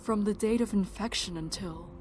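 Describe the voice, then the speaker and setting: A woman playing a frank, caring camp doctor in an audio drama, earnest and gentle with some hesitation. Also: mid-range voice, moderate pace, over music, lightly acted. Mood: grave, sympathetic.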